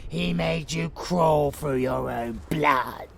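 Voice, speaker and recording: A man playing a sadistic, gloating vampire monster in an audio roleplay, with a gravelly, menacing, foul-mouthed delivery that savors every word. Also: on the high side, slow pace, crowd noise. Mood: bitter, mocking. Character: sadistic, gloating male vampire monster who relishes slaughter.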